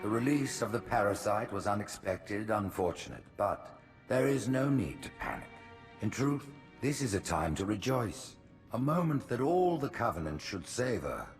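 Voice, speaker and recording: An actor playing a grandiose alien religious leader in a video game, giving grand, measured oratory. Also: male voice, lowish voice, sing-song, faint music behind. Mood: grave, reassuring. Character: smooth-talking, grandiose alien prophet leader. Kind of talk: video game cutscene acting.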